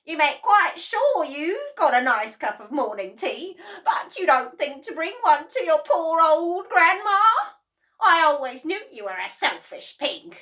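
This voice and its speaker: A woman voicing a bossy, enormous old grandmother who shouts shrilly in a storybook reading. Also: very animated, takes it slowly, few pauses, distant mic, heavily acted. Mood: accusing. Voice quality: shrill, bellowing.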